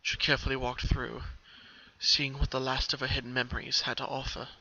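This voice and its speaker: Male narrator for dramatic fiction: very bright, tense delivery, mid-range pitch, lo-fi home recording. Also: flat delivery, a little fast. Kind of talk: dramatic story reading.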